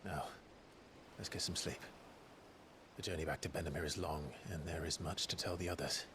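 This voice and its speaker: A deep-voiced, protective warrior. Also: male voice, normal speed. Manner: low, quiet, steady. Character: grown man warrior, steady and protective. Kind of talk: video game cutscene acting. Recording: ambient noise in the background. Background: clear ambient noise.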